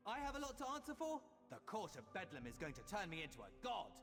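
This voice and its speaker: An actor playing an arrogant young elf traitor in a video game, haughty and sneering. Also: male voice, high voice, wildly swooping pitch, quick pace, a bit bright, exaggerated voice. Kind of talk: video game character dialogue. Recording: distant, long hall reverb.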